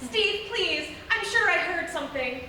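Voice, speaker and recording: Very high-pitched frightened sweetheart. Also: female voice, expressive, a little slow, exaggerated voice. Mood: anxious. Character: frightened, anxious young wife or girlfriend. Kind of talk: melodramatic live radio theatre acting. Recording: very echoey live theatre, far mic, loud noise.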